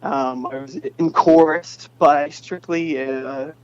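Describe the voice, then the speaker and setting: Muffled, high-voiced young New man, chatty. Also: steady tone, takes it slowly. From New England, United States. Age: 20s. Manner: chatty, playful. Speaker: interview guest, pop singer. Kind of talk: casual interview chat. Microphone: phone. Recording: muffled noisy phone connection.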